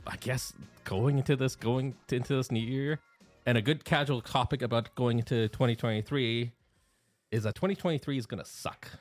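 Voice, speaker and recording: A man's voice: mid-range voice, fairly quick, soft background music. A man co-hosting a casual business podcast, joking and laughing easily, with loose, rambling phrasing. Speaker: podcast co-host.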